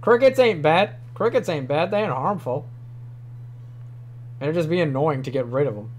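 High-voiced young man with a New York accent. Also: animated, normal speed, a little hiss or hum. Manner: rambling, swears casually, laughs often. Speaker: streamer. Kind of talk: casual livestream chat. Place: small home room.

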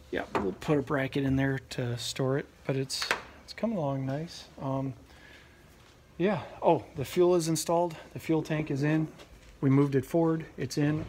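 A man in his thirties giving a relaxed, rambling walkaround of a boat project in a large workshop garage, filmed on a handheld phone, with many fillers and restarts. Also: mid-range voice, noisy background. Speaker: channel host. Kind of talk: casual walkaround vlog. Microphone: handheld phone camera close up.

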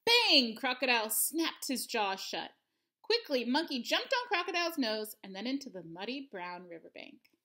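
A woman's voice: sits high, sing-song, normal speed, sharp tone. A woman in her thirties reading a picture book aloud expressively for children, at home. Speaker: storybook reader. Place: living room at home.

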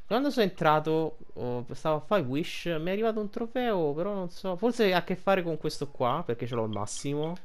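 A man's voice: a little high, very animated, a little fast, few pauses. An Italian man giving casual gameplay commentary, rambling and thinking aloud as he plays. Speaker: gaming streamer.

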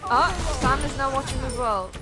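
High-voiced swearing woman streamer. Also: moderate pace. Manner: swearing, laughing, frustrated banter. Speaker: streamer playing an online battle game. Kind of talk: casual gaming chat with a friend. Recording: game music loud behind the voice. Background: game music and sound effects.

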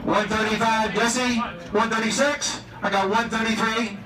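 An American man calls an auction in a high-pitched, rhythmic chant, repeating bids and prodding bidders. He is outdoors and heard from a distance, with echo on his voice and a crowd around him. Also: steady tone, a little slow. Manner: repetitive, rhythmic bid calling, pushing bidders. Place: outdoors on a marina dock. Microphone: picked up by a distant camera.

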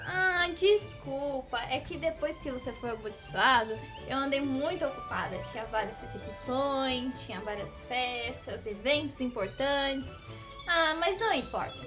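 A woman acts out a vain cartoon princess with a high voice. She is breezy and, with clear music underneath. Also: lively intonation, unhurried. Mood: airy, apologetic. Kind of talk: cartoon fairy-tale parody acting.

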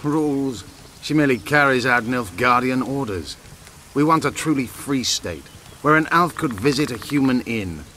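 An actor playing a proud, bitter elven rebel commander in a fantasy game, grave and declamatory. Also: male voice, medium pitch, expressive, moderate pace, sharp tone, a little hiss or hum. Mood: proud, fervent. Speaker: video game character actor. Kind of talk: game dialogue acting.

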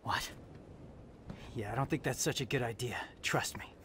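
A brash, impatient young man acted by a man in a game cutscene. He talks bluntly with a sardonic edge in a mid-pitched voice, with faint noise underneath. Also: moderate pace, a bit bright, lightly acted. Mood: suspicious. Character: cocky, impatient young male demon hunter. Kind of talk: video game cutscene acting.

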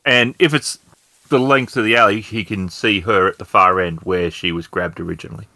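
Man, forceful bright tone, lowish pitch, dry sound, improvised tabletop game narration. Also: fairly even intonation, normal speed, gravelly, no echo. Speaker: tabletop role-play game participant narrating.

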